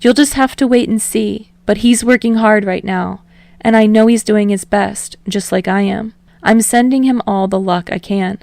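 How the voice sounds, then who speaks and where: An upbeat young male star read by a woman answers coyly, lifted and a bit louder than plain narration. The delivery is buoyant and teasing, with a creaky edge and a close, dry sound, as in an audio story. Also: medium pitch, fairly even intonation, normal speed, dry recording, lightly acted.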